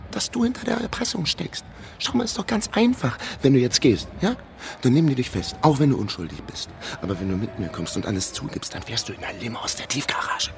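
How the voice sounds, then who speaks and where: A man playing a scheming amateur sleuth in a radio crime drama, talking in a halting, persuasive, wheedling way. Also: mid-range voice, sing-song, creaky, a little hiss or hum. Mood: urgent persuading. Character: scheming, pleading male amateur sleuth in trouble.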